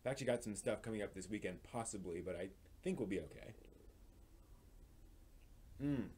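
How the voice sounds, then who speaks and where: A man's voice: fairly low pitch, animated, a little fast, darkish tone, husky. Casual man hosting a news live stream. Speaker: live stream host presenting anime news.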